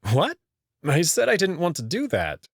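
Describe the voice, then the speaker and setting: A man playing an anxious, wry young human man in a visual novel, with an expressive delivery. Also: on the high side, normal speed, no room sound, clean studio sound, heavily acted. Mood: reluctant, wry. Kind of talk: visual novel narration and character acting. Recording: music behind the voice.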